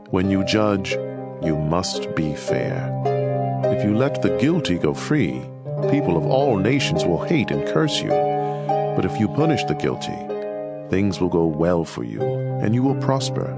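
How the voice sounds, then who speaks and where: A man's voice: low-pitched, exaggerated voice. An actor playing a fatherly teacher counseling his children in a dramatized, instructive scripture reading. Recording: music playing behind the voice. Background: instrumental music.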